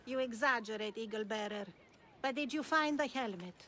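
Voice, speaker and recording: An actress playing an enigmatic, lofty older wise woman in a video game, speaking in a measured, cryptic, superior way. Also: on the high side, pushed and bright, slight background noise. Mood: lofty, knowing. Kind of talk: video game cutscene dialogue.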